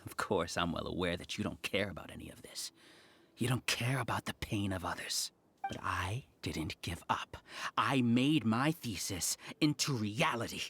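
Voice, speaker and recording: An actor playing a smug, grandiose scholar villain who leads a cult-like hive, theatrical and condescending in a video game. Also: male voice, fairly low pitch, lively intonation, moderate pace, vocal fry, fairly bright tone. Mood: grandiose, bitter.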